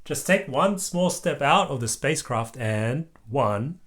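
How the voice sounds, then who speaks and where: A parent reading aloud as a hearty old grandpa rabbit astronaut in a storybook. The delivery is booming and encouraging, with pitch that swings widely. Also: lively intonation, measured pace, fairly bright tone. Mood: instructive. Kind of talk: children's storybook read-aloud.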